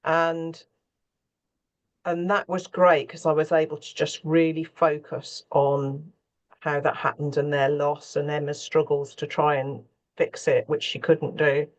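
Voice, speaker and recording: Woman writer chatting on a panel, thoughtful and candid, pauses mid sentence. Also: sits low, flat delivery, highs cut off. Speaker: scriptwriter for radio and theatre. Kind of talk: panel conversation, reflective.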